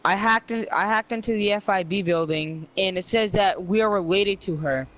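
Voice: mid-range voice, normal speed, fairly bright tone. Bossy gamer leading a roleplay crew. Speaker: player acting as crew leader. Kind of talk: casual in-character roleplay in an online game. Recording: faintly noisy game audio with vehicles. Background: faint game vehicle noise.

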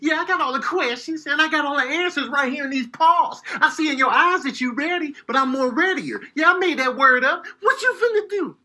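A man's voice: extremely high pitch, animated, fairly quick, few pauses, pushed and bright. A man doing comedic voice-over in a colloquial, exclamatory, mock-indignant way. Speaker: comedian doing animal voice-overs. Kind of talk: comedic voice-over of animal clips.

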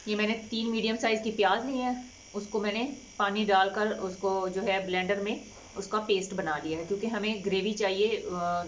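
A woman cooking-channel host explaining a home recipe step by step in a calm, unhurried way with frequent fillers. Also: mid-range voice, lo-fi sound, slight background noise. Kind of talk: recipe instruction over cooking footage. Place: home kitchen.

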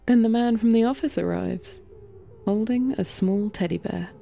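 A woman's voice: medium pitch, normal speed, vocal fry, mellow, a little hiss or hum. A woman reading scripted story narration for a fiction podcast.

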